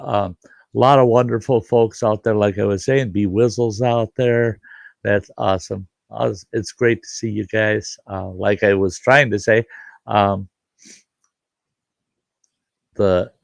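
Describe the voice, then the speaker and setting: An older man hosting a casual live stream, hoarse today, talking in a halting, rambling way with many fillers. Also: lowish voice, darkish tone, no room sound. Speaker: livestream host.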